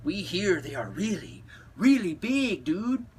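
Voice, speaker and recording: A man's voice: high-pitched, huge pitch swings, a little slow, slight background noise, voice pushed up, exaggerated voice. A man voicing brash, cheeky little Chihuahua dogs from a storybook. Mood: eager.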